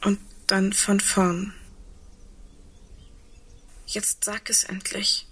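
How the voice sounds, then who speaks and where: A woman playing a resigned, composed but fearful woman in an intimate audio drama, halting and often dropping into a hush. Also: medium pitch, little pitch movement, breathy edge, full character voice. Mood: pleading.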